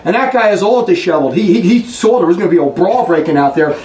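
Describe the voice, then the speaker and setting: A man giving an impassioned religious talk to a group in a fast, blunt, combative way. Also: high-pitched, lively intonation, darkish tone. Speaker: religious preacher. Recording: echoey room, distant mic, faint noise.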